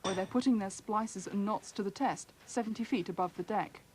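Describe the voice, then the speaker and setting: A British woman narrates a news documentary from a script. Her voice sits at an ordinary female pitch, and the archive recording carries faint noise. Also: fairly quick, darkish tone. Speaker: news reporter. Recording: faintly noisy archive newsreel.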